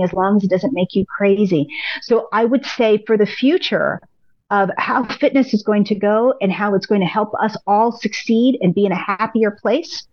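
A woman, a pilates instructor, giving upbeat, encouraging advice as a podcast guest, a little hoarse from losing her voice. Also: mid-range voice, no room sound, highs cut off. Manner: upbeat, encouraging, speaks in repeated affirmations. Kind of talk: casual interview advice.

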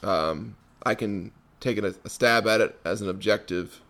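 Laid-back podcast host, low voice. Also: male voice, a little slow. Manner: relaxed, many ums and restarts. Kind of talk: casual interview chat.

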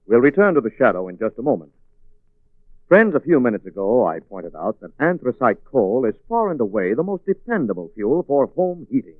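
A man's voice: medium pitch, sing-song, a little fast, dry recording, narrow, tinny audio. A man announcing in polished, salesmanlike 1940s radio style, reading scripted advertising and narration. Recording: thin, band-limited 1940s radio recording.

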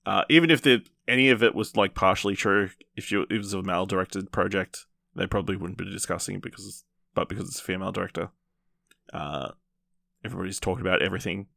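A man hosting a podcast, casually reviewing films in a rambling, halting way with repeated false starts. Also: low-pitched, fairly even intonation, gravelly, pushed and bright, no room sound, studio quality. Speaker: podcast host. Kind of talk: casual film review chat.

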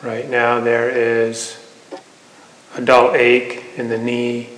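A man teaching a guided meditation in an unhurried way, with long pauses between phrases. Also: mid-range voice, monotone, a bit bright. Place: meditation hall. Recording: echoey hall, noticeable reverb, faint noise.

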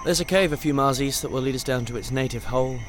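A man's voice: medium pitch, fast, background noise, close to an everyday voice. A man playing a brooding, cryptic and menacing guide in an audio drama. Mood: ominous, cryptic. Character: Serenity, brooding, ominous male expedition guide.

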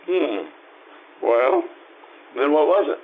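A man acting in an old-time radio drama, playing an easygoing, curious husband who muses and gently prompts. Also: fairly high pitch, very animated, takes it slowly. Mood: musing, prompting. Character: curious, easygoing husband drawing out his wife's memories. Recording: tinny, lightly noisy radio-play recording.

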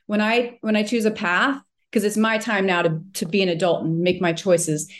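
A woman's voice: medium pitch, flat delivery, normal speed. A woman from Minnesota, a mindset coach and speaker, telling a personal story in an earnest, motivational way. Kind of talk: reflective storytelling.

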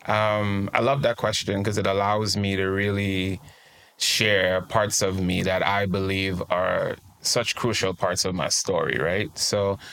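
A man talks in a low, gravelly voice. He shares his story in a reflective, open way, like a guest on a casual interview podcast. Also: steady tone, unhurried, fairly bright tone. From Trinidad and Tobago. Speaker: guest life coach.

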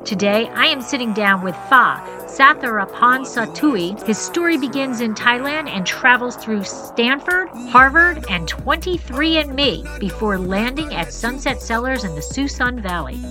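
A woman's voice: a little high, animated, measured pace, hardly any pauses, over music, low-quality recording. Bubbly woman hosting a podcast. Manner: bubbly, laughs often. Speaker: podcast host and sommelier. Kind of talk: podcast intro and casual interview.

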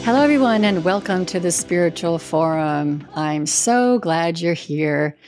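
A woman's voice: fairly low pitch, expressive, a little slow, a little mellow, soft background music. A woman who is a reverend, hosting a spiritual podcast and interviewing a guest in an earnest, conversational way. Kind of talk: scripted intro then casual interview. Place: home in Wisconsin, USA.

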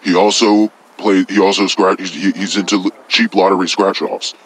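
A man's voice: low voice, flat delivery, moderate pace, a bit bright, a little hiss or hum, low-quality recording. A man playing a crude, boastful devil who talks bluntly and profanely. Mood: indignant. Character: crude, boastful male devil, menacing and vulgar.

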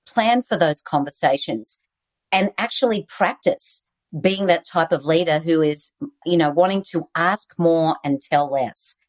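An Australian woman, a guest author on leadership, giving earnest, animated interview answers over a video call. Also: low-pitched.

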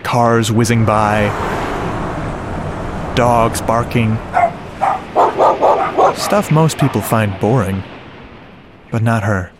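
A man playing a shy, earnest, nerdy sound-effects man, telling his story conversationally and haltingly in a radio drama, with a wistful manner. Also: on the low side, very noisy. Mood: wistful, smitten. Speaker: narrator and lead character. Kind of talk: first-person radio drama monologue. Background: music and sound effects.

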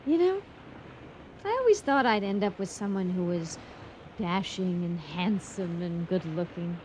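An actress playing a blunt, playfully teasing young bride in a radio comedy. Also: female voice, lowish voice, lively intonation, measured pace, a little mellow, a little hiss or hum, poor audio. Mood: dreamy, teasing. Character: teasing young woman, honeymooning bride-to-be in love. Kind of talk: radio comedy drama acting.

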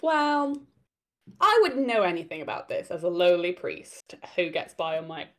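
A woman from Wales with a light Welsh accent, chatting as an interview guest, hesitant, laughing often and filling pauses with ums. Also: on the low side, sing-song, fairly bright tone. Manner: hesitant, giggly, many fillers. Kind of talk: casual interview chat.

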